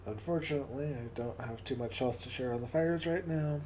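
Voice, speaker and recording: A man with a raspy, hoarse voice hosting a casual live stream and closing with a prayer, often stumbling and repeating words. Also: medium pitch, brisk, darkish tone, a little hiss or hum. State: hoarse from coughing. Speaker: livestream host.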